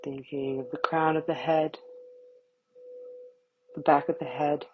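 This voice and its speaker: A woman guiding a yoga nidra relaxation in a calm, soothing manner, with short, spaced phrases. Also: low-pitched, unhurried, muffled recording, slight background noise. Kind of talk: guided relaxation meditation.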